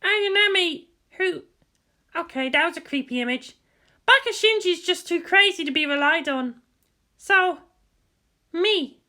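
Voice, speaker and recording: Fiery teen girl pilot: piercingly sharp, very high, blunt and indignant, for dramatic fan fiction. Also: lively intonation, a little slow, long pauses, full character voice. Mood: musing. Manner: shrill, blunt, accusatory.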